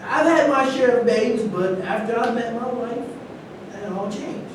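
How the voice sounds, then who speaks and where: A man's voice: extremely high pitch, a little slow. A man reading the part of an indignant celebrity who insists on his innocence, in a staged play recorded from afar in a noisy room. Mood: earnest. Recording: echoey noisy room, far from mic.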